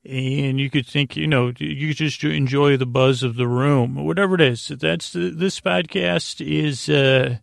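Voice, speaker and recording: A man hosting a bedtime sleep podcast, with a creaky voice and a gentle, silly, meandering manner full of hesitations, tangents and pauses. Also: mid-range voice, fairly even intonation, dry recording, studio quality. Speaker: podcast host.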